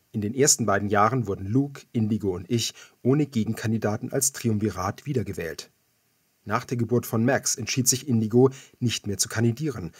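Low, husky male audiobook narrator. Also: no room sound, clean studio sound. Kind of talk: scripted first-person fiction narration.

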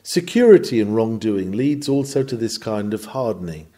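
A man's voice: fairly low pitch, sing-song, normal speed, mellow. A man reading a scripted sermon in a solemn, emphatic, rhetorical manner. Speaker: reader of a historical sermon. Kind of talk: sermon, read from a script with oratorical delivery.

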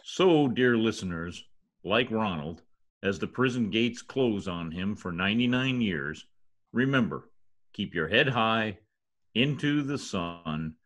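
A man's voice: lowish voice, lively intonation, takes it slowly, fairly bright tone. An older man playing a pompous, exasperated radio star with a theatrical manner in old-time radio comedy. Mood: grandly sardonic. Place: indoor home office. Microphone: computer mic on video call.

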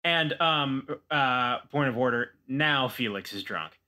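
A man's voice: mid-range voice, lively intonation, takes it slowly, sharp tone. A man playing a tabletop game, casually improvising what his character does. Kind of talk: casual improvised roleplay narration.

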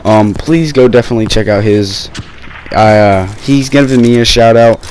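A young man with a sore throat, talking low and rambling casually over gameplay footage on his gaming channel. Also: normal speed, a little mellow, slight background noise. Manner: rambling, many fillers and restarts.

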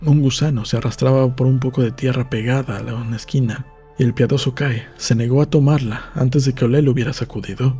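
A man reading a novel aloud as an audiobook narrator, in a calm, measured storytelling manner. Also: mid-range voice, soft background music.

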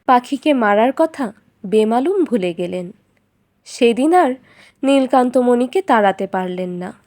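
High-voiced woman narrating a fairy tale. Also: expressive, a little slow, a little mellow.

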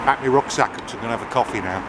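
A middle-aged man talking to camera by a river, cheerily boasting about a quick find. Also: medium pitch. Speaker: magnet fisher. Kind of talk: casual talk to camera. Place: riverside outdoors. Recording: loud outdoor noise.

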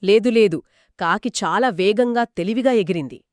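Expressive woman telling a children's story. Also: mid-range voice, moderate pace, no room sound, studio quality. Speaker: co-host storyteller. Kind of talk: scripted children's storytelling in dialogue.

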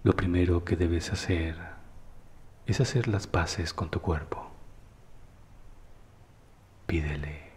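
Deep, slow-voiced man guiding meditation in reverb. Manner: calm, soothing, unhurried, often breathy at phrase ends. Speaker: guided meditation narrator. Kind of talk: slow guided meditation, scripted narration.

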